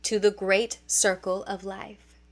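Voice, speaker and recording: A woman narrating a children's read-along storybook in an expressive, deliberate storytelling manner. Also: mid-range voice.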